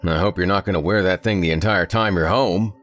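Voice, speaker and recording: A man voicing a stubborn, loud middle-aged country father who grumbles and blusters. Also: low voice, brisk, soft background music, voice pushed up. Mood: teasing, grumbling.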